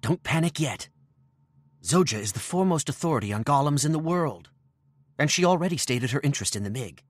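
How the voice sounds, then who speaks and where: A man voicing a matter-of-fact, analytical gnomish scientist in a video game, with a clinical, measured manner. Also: fairly high pitch, expressive, dry recording. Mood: clinical reassurance. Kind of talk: video game cutscene voice acting.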